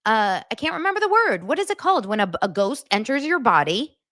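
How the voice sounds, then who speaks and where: A woman's voice: a little high, big pitch swings, fast, a bit bright, dry recording, studio quality. A woman hosting a daily pop culture show, chatting to her audience in a gossipy, exaggerated way. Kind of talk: casual chat to camera.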